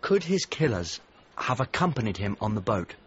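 A man's voice: on the low side, big pitch swings, moderate pace, highs cut off, slight background noise. An actor playing a brooding male painter searching for a missing friend in a radio drama, with intimate delivery. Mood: anxious, probing. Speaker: narrator and lead character of a radio drama.

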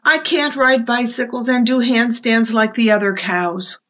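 Woman voicing an excitable, dramatic storybook cow. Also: a little high, measured pace. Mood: glum. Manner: dramatic, emotional. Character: excitable, insecure female cow in a picture book.

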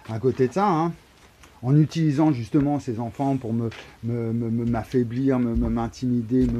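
A man in his forties talks into a phone held low at arm's length inside a wooden shed. His mellow, dark voice rambles on, stumbling over repeated words and breaking into emphatic bursts. A faint hiss runs under the recording. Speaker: vlogger. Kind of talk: rambling monologue to camera. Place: wooden shed or barn, indoors. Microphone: phone camera held low at arm's length.

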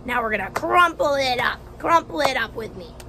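A young woman teacher reading a picture book aloud to children outdoors, expressive and encouraging, with questions and exaggerated emphasis. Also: high-pitched. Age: young adult. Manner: expressive, asks questions and pauses for answers. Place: outdoors on a house porch. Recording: faint outdoor background noise.

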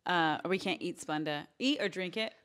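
A woman's voice: on the low side, brisk, a bit bright. A young adult woman co-hosting a podcast in casual conversation with a friend, speaking into a close handheld mic. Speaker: podcast co-host. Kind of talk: casual chat between friends. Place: small bedroom, sitting on a bed. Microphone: handheld microphone held close.

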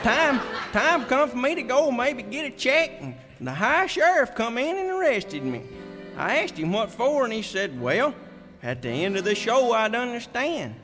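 A man's voice: very high voice, very animated, normal speed. A man playing a bewildered, folksy banjo player in a comic radio skit, hesitant and rustic. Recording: echoey stage hall with music.

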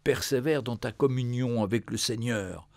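A man voicing a dying old father who speaks softly, hushed and slowly. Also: medium pitch, gravelly, no echo, close to an everyday voice. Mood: tender. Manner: soft, hushed and slow.

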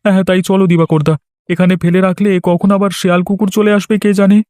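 A man voicing a nervous, excitable villager who is fearful of ghosts in a horror cartoon. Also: sits high, fast, no room sound, clean studio sound, natural voice. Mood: spooked. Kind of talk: Bengali horror cartoon dialogue.

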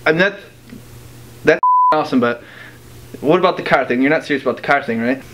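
A man's voice: mid-range voice, fairly quick, background noise, natural voice. A man in his thirties acting a sarcastic, exasperated, slangy guy in an amateur short film. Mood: amazed, uneasy.

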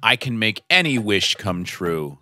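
Bright, forceful lamp genie. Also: male voice, on the low side, big pitch swings, soft background music, full character voice. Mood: jolly, grand. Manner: chuckling, slow theatrical declarations. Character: jolly male genie of a magic lamp, obliging. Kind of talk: children's cartoon acting.

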